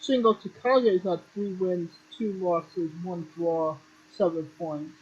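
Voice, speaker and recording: A man's voice: high voice, fairly even intonation, unhurried, breathy edge, lo-fi sound. A man hosting a casual wrestling podcast, matter-of-fact, reeling off standings with offhand swearing. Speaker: podcast host.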